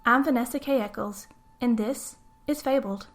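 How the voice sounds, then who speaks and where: A woman's voice: fairly high pitch, moderate pace. A woman narrating a scripted story in the first person as a podcast host and storyteller.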